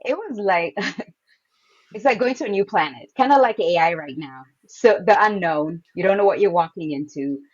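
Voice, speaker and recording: A woman from Kenya, a podcast guest, talking about her journey in a soft, humble way with light laughs. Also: on the low side, lively intonation, moderate pace, husky, lo-fi sound. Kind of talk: casual interview.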